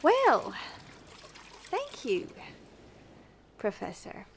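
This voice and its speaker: A woman's voice: on the high side, huge pitch swings, very slow, a little hiss or hum, full character voice. A woman playing a sardonic, exasperated host of a mock magazine show in an audio comedy, dry and sceptical.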